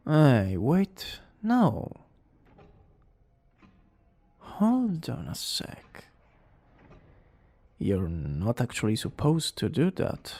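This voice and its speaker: Man acting a cocky, creaky-voiced young villain boyfriend. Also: medium pitch, wildly swooping pitch, drawn-out words, pauses often, a little mellow, exaggerated voice. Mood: flustered, playful. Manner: smug, theatrical, teasing. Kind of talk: romantic ASMR roleplay acting.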